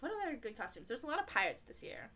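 Young woman, bright forward voice, lively swooping pitch, enthusiastic, casual podcast talk. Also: fast talker, vocal fry. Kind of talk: casual chatty podcast conversation.

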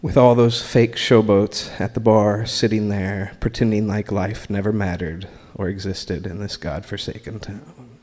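An American man reading his own writing aloud at a live open mic, in a deliberate, measured way with pauses between short phrases. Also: lowish voice, steady tone, a little mellow. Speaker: spoken word performer reading own writing. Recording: live recording in a reverberant room.

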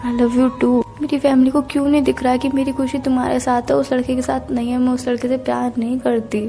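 A woman's voice: high voice, level tone, brisk, gravelly, mellow. A young woman speaking plaintively in an emotional private phone conversation. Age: young adult. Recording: phone call recording with music. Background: music.

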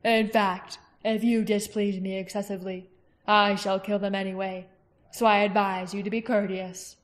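A woman voicing a cruel, smooth-talking male tyrant king in an audiobook reading, slow and mocking. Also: medium pitch, little pitch movement, natural voice. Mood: menacing.

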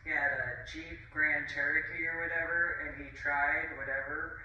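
A woman's voice: low voice, fairly even intonation, moderate pace, sharp tone, dull audio, slight background noise. A woman in her forties rambling through a casual monologue, full of fillers and dismissive asides, filmed standing in a small room. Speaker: vlogger. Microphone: camera at a distance. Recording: echoey room, far from the mic.

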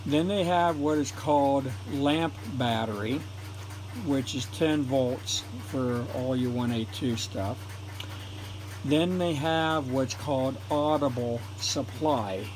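A man talks slowly and plainly, walking through technical details the way an old installer would. His voice sits at an ordinary middle pitch. A faint hiss of room noise stays underneath from a camera mic in a home workshop. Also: a little mellow, low-quality recording. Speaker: retired telephone installer demonstrating equipment. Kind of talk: technical explanation voice-over for a hobby video.

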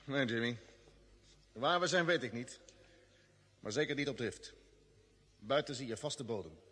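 An actor playing a decisive, level-headed leader of a space expedition in a vintage Dutch radio drama. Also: male voice, mid-range voice, lively intonation, measured pace, pushed and bright, slightly rough, full character voice. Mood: calm, reasoning. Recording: old radio recording, long hollow reverb.

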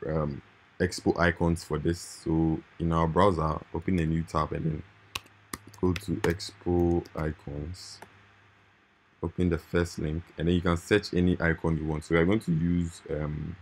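A man's voice: deep voice, little pitch movement, moderate pace, slight background noise. A man hosting a screen-recorded coding tutorial, talking informally and repeating his points with "right?" and "okay".

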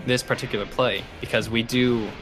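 A man's voice: mid-range voice, steady tone, a little fast, over music, rough recording. A young man reminiscing casually as a student actor in an interview. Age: young adult. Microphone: desk microphone.

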